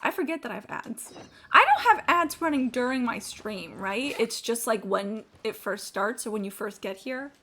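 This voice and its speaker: A woman's voice: on the high side, very animated, normal speed, bright, forceful tone, slight background noise. A woman livestreaming a game with casual, sweary, self-deprecating commentary, thinking aloud. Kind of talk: casual live gaming commentary.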